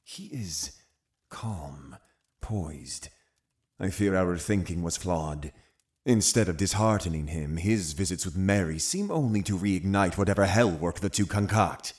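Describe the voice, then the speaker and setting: An actor playing a cunning, zealous Puritan uncle in an audio drama, commanding and condescending with deliberate phrasing. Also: male voice, sits low, animated. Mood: scornful, brooding. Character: cunning, zealous Puritan uncle, a menacing witch-hunting authority. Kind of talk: full-cast audio drama acting.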